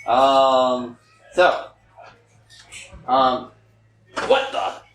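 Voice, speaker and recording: A man at a table reading, chatting casually and haltingly as he steps out of the script. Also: medium pitch, a bit bright, slight background noise. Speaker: table reader. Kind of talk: casual, halting aside between read scenes. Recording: echoey room with music behind.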